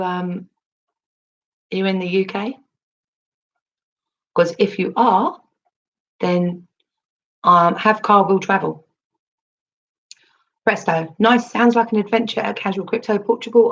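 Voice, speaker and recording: A woman with a husky voice, recovering from losing it, chatting casually on a livestream, answering her chat with lots of ums and restarts. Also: mid-range voice, highs cut off. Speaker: crypto livestream host reading chat.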